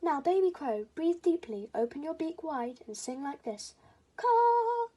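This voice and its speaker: A woman's voice: sits high, big pitch swings, a little slow, warm tone, dull audio, voice pushed up. A woman voicing an encouraging, practical mother crow in a storybook, giving patient instructions. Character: encouraging, practical mother crow coaching her little one.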